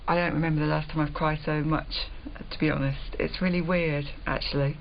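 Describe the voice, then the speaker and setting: A woman's voice: deep voice, steady tone, a little fast, gravelly. A woman giving a candid, hesitant and reflective confessional interview on a reality show. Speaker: reality show contestant, journalist. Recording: muffled, faint noise.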